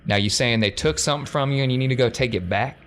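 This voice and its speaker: A man's voice: mid-range voice, fast talker, fairly bright tone, natural voice. Man playing a folksy, condescending crime boss, noisy recording. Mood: challenging. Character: shrewd, folksy crime boss who talks down to people. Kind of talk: tabletop game role-play.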